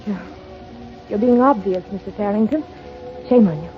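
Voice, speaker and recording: A young woman posing as a wealthy lady, played by an actress speaks in a refined, gently teasing manner. The 1930s radio-play recording is dull and lo-fi, with faint music behind her. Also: mid-range voice, normal speed, close to an everyday voice. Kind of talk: 1930s radio drama acting. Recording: lo-fi old radio broadcast with music.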